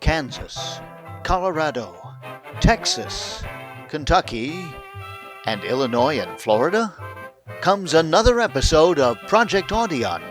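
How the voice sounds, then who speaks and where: A man's voice: on the high side, sing-song, a little slow, over music. A man hosting a radio show, giving a scripted introduction. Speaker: host.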